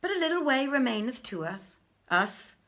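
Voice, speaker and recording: A woman's voice: high-pitched, very animated, normal speed, a bit bright, voice pushed up, exaggerated voice. A woman voicing a humble, devoted young male companion in a storybook, speaking earnestly and formally. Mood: reassuring. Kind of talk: storybook character reading.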